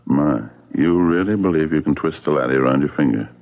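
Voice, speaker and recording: A man's voice: very deep voice, a little fast, creaky. An actor playing a menacing, suave man who taunts with mock politeness and lords it over others, in old-time radio drama style. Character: menacing, mocking man lording it over his enslaved friend. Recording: muffled, phone-like old radio sound.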